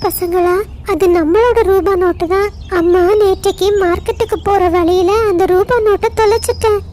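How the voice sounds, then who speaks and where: A woman's voice: very high voice, steady tone, moderate pace, darkish tone, a little hiss or hum. A woman voicing a kind, sensible mother bird in a children's cartoon. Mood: caring. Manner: caring, instructive. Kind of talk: children's cartoon story acting.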